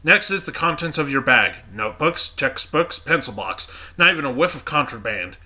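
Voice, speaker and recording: Man reading a stiff game class president, formal inspector, congested, very bright. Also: medium pitch, lively intonation, moderate pace. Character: stern male student council president, strict and formal.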